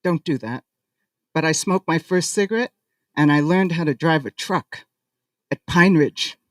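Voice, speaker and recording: A woman's voice: low-pitched, measured pace, dry recording, clean studio sound. A woman with a Boston accent, an organizing director giving an informal, friendly and self-teasing speech to a gathering. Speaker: organizing director speaking at ceremony. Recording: voice picked up from a distance.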